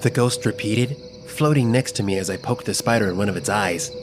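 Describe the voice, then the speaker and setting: A man narrating a scripted first-person children's story in an expressive, dramatic storytelling manner. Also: on the low side, a little fast, over music.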